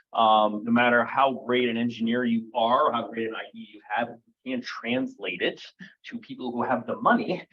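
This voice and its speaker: A man's voice: medium pitch, sharp tone. A man filling in as emcee, casually introducing the evening's speaker with many fillers. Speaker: stand-in emcee. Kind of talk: event introduction. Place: meeting room. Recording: dull lo-fi room recording.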